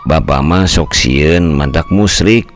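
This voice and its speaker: An older man storyteller voicing a calm older brother who explains things slowly and gently. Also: very deep voice, faint music behind.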